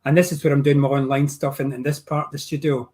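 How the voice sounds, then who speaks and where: A man's voice: on the high side, flat delivery, quick pace, darkish tone. An older man with a Glasgow accent, a painting teacher chatting over a video call from his home studio, rambling with many restarts. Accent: Glaswegian. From Scotland. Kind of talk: casual video call chat.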